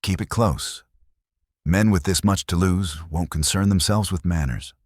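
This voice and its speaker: A man reading a steady, practical cowboy in a Western storybook, plain-spoken and measured. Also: low voice, no room sound, studio quality. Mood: steady, cautioning.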